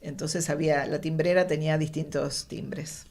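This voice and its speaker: A woman's voice: low-pitched, steady tone, moderate pace, darkish tone. A woman explaining technical craft informally as a guest, rephrasing as she goes.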